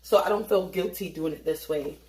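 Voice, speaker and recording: A woman's voice: lowish voice, a little fast, a little mellow, echoey room. A tired woman of Haitian family chatting casually and joking while she cooks late at night in her home kitchen, swearing now and then. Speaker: home cook vlogger. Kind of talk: casual cooking vlog chat.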